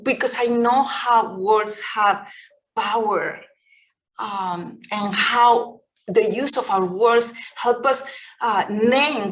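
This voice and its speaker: A middle-aged woman from Mexico speaks with a Mexican Spanish accent, as Spanish is her first language. She gives a reflective lecture over a video call at a very unhurried pace, with a middle pitch for a woman. She pauses and restarts often, and her tone stays gentle throughout. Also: rough recording. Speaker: guest lecturer.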